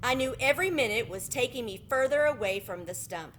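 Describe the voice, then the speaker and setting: A polite country cricket from a storybook, read by a woman. He speaks in a fairly high, lively voice and tells his tale in an earnest, modest way. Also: brisk. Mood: worried. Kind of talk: children's storybook read-aloud.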